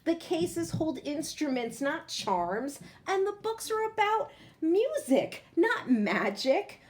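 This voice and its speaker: A woman's voice: extremely high pitch, big pitch swings, slow pace, voice pushed up, full character voice. A woman voicing a sensible, matter-of-fact mother in a children's storybook, explaining patiently and with emphasis. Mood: patient, insistent. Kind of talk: children's storybook character reading.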